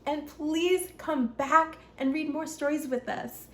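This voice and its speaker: A woman hosting a children's read-aloud, speaking directly to young listeners in an expressive storytime style. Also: sits high, slow pace. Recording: echoey room, faint background noise.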